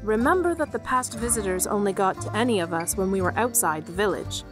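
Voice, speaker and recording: A woman playing a calm, decisive female village leader in an audio drama, speaking firmly. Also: medium pitch, fairly quick, over music, close to an everyday voice. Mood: composed. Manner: composed, firm.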